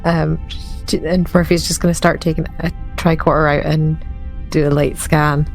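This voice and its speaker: Lowish, creaky woman playing a tabletop game. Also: flat delivery, normal speed, faint music behind. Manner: hesitant, tentative. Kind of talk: improvised in-character tabletop play.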